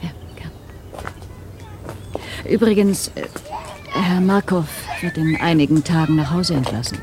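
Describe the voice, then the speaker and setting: An actress playing a concerned, formal professional woman in a German radio play, measured and a little hesitant. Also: deep voice. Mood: cautious.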